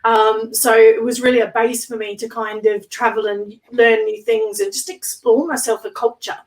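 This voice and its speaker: A woman guest on a casual video-call interview, slightly hoarse, chatting with lots of ums and easy laughs. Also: fairly high pitch, normal speed, far from the mic, poor audio. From Australia.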